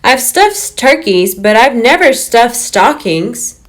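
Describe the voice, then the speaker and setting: A woman's voice: fairly high pitch, sing-song, slow pace, no room sound, pitched above the performer's own voice. A woman voicing a cheerful, literal-minded and eager housemaid from a children's storybook, earnest and emphatic. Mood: puzzled but determined.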